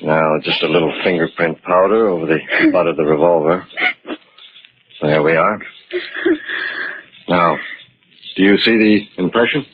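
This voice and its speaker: Lowish male voice, older police inspector, gruff, sceptical, curt, 1940s radio drama, muffled recording. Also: a little slow, long pauses. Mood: businesslike. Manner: curt, authoritative. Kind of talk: 1940s radio mystery acting.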